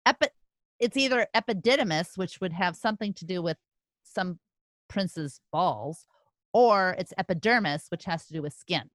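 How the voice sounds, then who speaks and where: A woman's voice: fairly low pitch, lively intonation, normal speed, no echo. A woman in a casual podcast chat, playfully thinking aloud as she guesses quiz answers.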